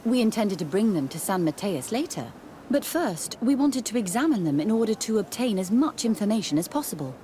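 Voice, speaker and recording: A formal, composed senior nun and scholar from a fantasy video game, played by a woman. She speaks briskly at a medium pitch, with clear background ambience. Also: sing-song, warm tone, a little hiss or hum, lightly acted. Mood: matter-of-fact. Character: busy, formal female religious scholar in charge. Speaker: supervisor of theologians.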